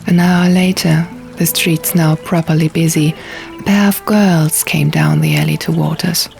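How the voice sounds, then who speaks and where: A woman's voice: low voice, expressive, measured pace, creaky, a little mellow. A woman reading a dramatic first-person fantasy audiobook as narrator. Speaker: audiobook reader. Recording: music behind the voice.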